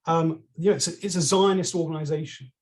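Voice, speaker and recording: A middle-aged man with a London accent speaks as a guest on a conversational podcast. His voice sits a little high for a man, and he tells his story in a hesitant, unpolished way, full of ums and restarted phrases. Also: steady tone, fast, a little mellow. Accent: British, London. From England.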